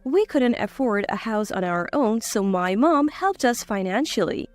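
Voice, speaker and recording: A woman's voice: on the high side, animated, a little slow, faint music behind. A woman reading a scripted first-person story as its narrator.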